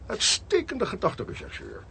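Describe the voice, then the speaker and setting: An actor playing a brisk, inquisitive police detective who questions deliberately in a radio play. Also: male voice, sits high, huge pitch swings, creaky, pushed and bright, full character voice. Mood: probing, brisk. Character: inquisitive male detective leading an arson investigation. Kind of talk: Dutch radio play acting. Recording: faintly noisy radio play recording.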